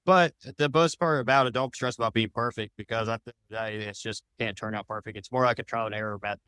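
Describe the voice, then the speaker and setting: A man's voice: mid-range voice, quick pace, sharp tone, smooth, no echo. A man answering interview questions as a podcast guest, talking in loose, rambling explanations. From United States.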